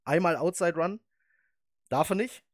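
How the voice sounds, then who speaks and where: A man's voice: on the high side, unhurried, dry recording, clean studio sound. A man in his thirties hosting a casual football podcast, chatty and opinionated. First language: German. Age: 30s. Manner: chatty, opinionated, laughs easily. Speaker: podcast host.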